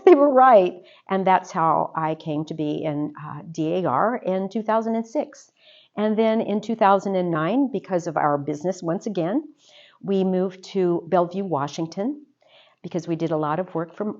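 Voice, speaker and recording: Low-voiced woman telling her story. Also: highs cut off. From United States. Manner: warm, chatty storytelling with fillers. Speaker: interview guest, organization officer. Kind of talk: casual interview answers.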